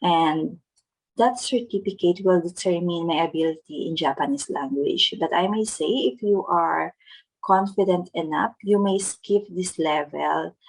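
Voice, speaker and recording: Young Filipino woman vlogger, gravelly husky voice, earnest step-by-step delivery. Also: on the low side, a little slow, rough recording. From Philippines. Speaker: vlogger teaching Japanese test tips. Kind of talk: casual vlog narration.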